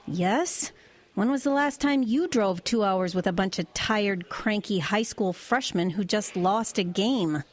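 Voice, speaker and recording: A woman's voice: mid-range voice, a little slow, natural voice. A woman playing a no-nonsense divorced truck driver in an audio drama, speaking in a direct, dry, conversational way. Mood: frank, persuasive. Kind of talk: audio drama acting and first-person narration.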